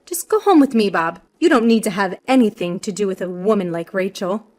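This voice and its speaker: A woman's voice: fairly high pitch, expressive, normal speed, lightly acted. A woman voicing a spiteful, smug older mother-in-law in a story, with a haughty, accusing, emphatic delivery. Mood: smug contempt. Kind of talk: dramatized storytelling.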